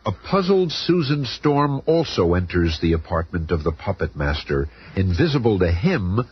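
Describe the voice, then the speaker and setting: An ominous storyteller for a superhero radio serial. His voice is low and dramatically varied, on a muffled broadcast with faint noise. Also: big pitch swings, normal speed, slightly rough, heavily acted. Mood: dramatic. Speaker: narrator.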